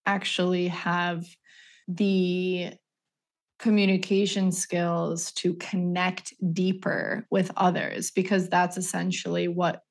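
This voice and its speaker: A woman's voice: on the low side, flat delivery, slow pace. A woman hosting an interview podcast, chatty and enthusiastic, affirming her guest as she talks. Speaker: podcast host. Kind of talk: casual interview talk.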